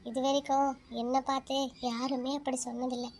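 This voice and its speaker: A woman's voice: a little fast, fairly bright tone, a little hiss or hum. A woman voicing a meek, mistreated young stepdaughter in a cartoon. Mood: sorrowful. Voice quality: high-pitched. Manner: meek and sorrowful.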